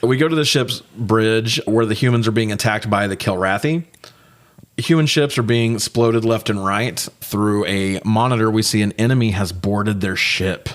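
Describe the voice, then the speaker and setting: Man, lowish voice, podcast co-host, casual film review, profane griping. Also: moderate pace.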